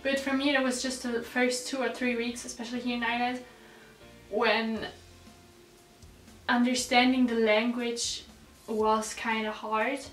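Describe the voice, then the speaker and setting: A woman's voice: fairly high pitch, flat delivery, measured pace, pauses often, fairly bright tone. Teenage South Tyrolean girl in an echoey room. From South Tyrol, Italy. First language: German. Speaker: vlogger, exchange student. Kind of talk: casual chat to viewers. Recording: roomy echo, distant mic, background music.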